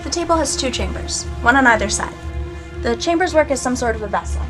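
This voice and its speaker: Woman as mysterious female guardian, fairly high voice, cryptic, composed authority, screen drama, clear background music. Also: expressive, fast. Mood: cryptic, explanatory. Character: mysterious, unflappable female guardian of a magical table. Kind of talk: measured screen drama acting.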